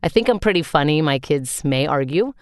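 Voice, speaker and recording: A woman voice actor with a deep voice, reading her own written answers aloud in an earnest way, with small asides. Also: expressive, brisk, smooth, no room sound, studio quality.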